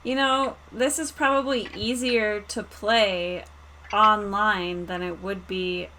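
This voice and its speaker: A woman's voice: mid-range voice, fairly even intonation, takes it slowly, sharp tone, poor audio. A woman playing in a streamed roleplaying game, chatting informally and explaining things with plenty of "like". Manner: informal, explanatory, uses "like" often. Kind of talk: casual chat. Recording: faint background noise.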